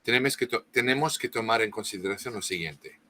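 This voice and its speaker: A man's voice: on the low side, sharp tone. A middle-aged man from France with a French accent, speaking as a guest author and lecturing at length with frequent restarts and fillers. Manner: halting, many restarts and fillers. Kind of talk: interview, lecturing.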